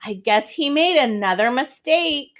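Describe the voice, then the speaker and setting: A high-pitched, very animated lady from a children's storybook, acted out by a woman reading aloud. She is cheerful and polite, speaking in exclamations with a sing-song lilt. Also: unhurried. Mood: exasperated surprise. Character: Miss W, cheerful polite lady letter, weather forecaster.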